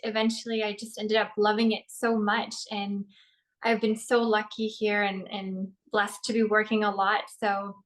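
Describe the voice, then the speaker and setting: Measured actress, flat tone. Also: female voice, medium pitch. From Norway. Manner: thoughtful and measured, with frequent ums. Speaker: actress, interview guest. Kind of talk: casual interview answers.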